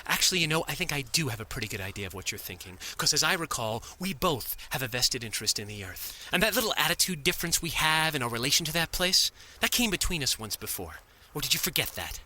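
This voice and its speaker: A man's voice: fairly high pitch, big pitch swings, quick pace, hardly any pauses, pushed and bright, exaggerated voice. A man playing an exasperated, defensive and fiercely independent agent in an audio drama, speaking emphatically. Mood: upset.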